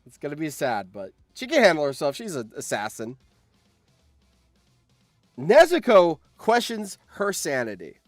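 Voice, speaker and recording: A man hosting a live stream, reading out game events and reacting in an animated, exclamatory way with repeated phrases. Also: fairly high pitch, measured pace, pauses often, dry recording, clean studio sound, faint music behind.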